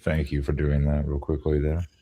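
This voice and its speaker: A man's voice: extremely low pitch, monotone, normal speed, dark tone. A man hosting a live show in a casual, rambling, joking way. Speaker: host. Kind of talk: casual chat.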